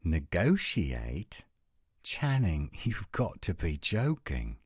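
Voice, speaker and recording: A man's voice: deep voice, huge pitch swings, takes it slowly, husky, no room sound, studio quality, exaggerated voice. A man playing a testy, headstrong werewolf, terse and challenging, in an audio roleplay drama. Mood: incredulous, reluctant. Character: testy, headstrong male werewolf, protective of his pack.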